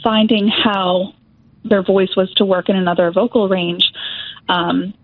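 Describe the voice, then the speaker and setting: A woman's voice: medium pitch, fairly even intonation, measured pace, no room sound. A young adult woman music therapist, explaining her voice work as an interview guest with frequent fillers. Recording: tinny phone-like line.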